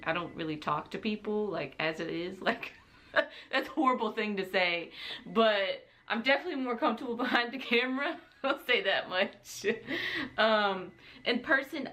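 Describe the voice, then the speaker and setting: A woman's voice: mid-range voice, fairly bright tone. A young adult woman chatting casually to camera as a vlogger, rambling in a giggly, self-deprecating way.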